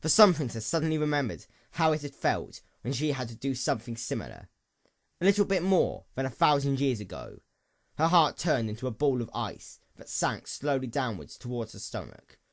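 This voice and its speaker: A man narrating a dramatic story in a solemn, deliberate and expressive manner. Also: medium pitch. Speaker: audiobook narrator of fan fiction.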